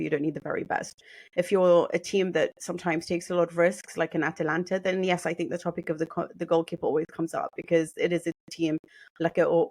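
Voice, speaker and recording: A woman's voice: fairly low pitch, darkish tone, no echo. Woman reasoning through football tactics. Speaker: podcast panelist. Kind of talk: casual football chat.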